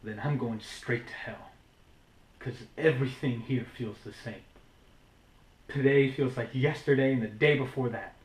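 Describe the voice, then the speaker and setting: A man's voice: on the high side, expressive, measured pace, pushed and bright. A man playing a despairing, lovelorn factory man in a subdued, brooding stage monologue. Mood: bleak, longing. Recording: roomy, echoing indoor recording.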